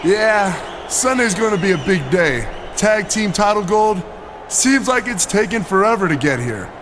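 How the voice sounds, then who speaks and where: Cocky high-pitched muscleman wrestler. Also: sing-song, measured pace, crowd noise, noisy background. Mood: boastful. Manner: boastful, smug, showy. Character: cocky vain male muscleman wrestler, self-styled masterpiece. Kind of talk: video game cut scene acting.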